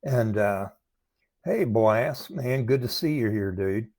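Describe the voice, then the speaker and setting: A man hosting a casual livestream, talking informally and haltingly straight to viewers, with a voice strained by throat trouble. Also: fairly low pitch, animated, a little mellow, no room sound, studio quality. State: struggling with throat and voice problems. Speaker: live stream host, recovery coach.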